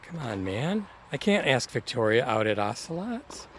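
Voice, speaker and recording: A man's voice: medium pitch, very animated, moderate pace, a little hiss or hum, natural voice. A man acting a breezy, overconfident, wisecracking buddy and would-be ladies' man in a comedic audio drama. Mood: breezy, persuading.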